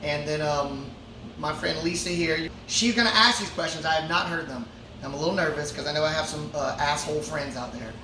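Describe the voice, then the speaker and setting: A man's voice: fairly high pitch, brisk. Laid-back man in an echoey brewery. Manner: laid-back, joking, many fillers. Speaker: musician answering fan questions. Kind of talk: casual Q&A chat. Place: brewery before a show. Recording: roomy echo, from a distance, ambient noise.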